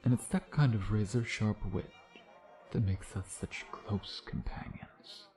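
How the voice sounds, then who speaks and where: A man's voice: fairly low pitch, a little slow, music in the background, close to an everyday voice. A man playing a suave, predatory vampire in an intimate ASMR roleplay, taunting in a sardonic, flirtatious way with murmured asides. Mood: sly, bargaining. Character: suave, teasing, predatory male vampire, playfully menacing.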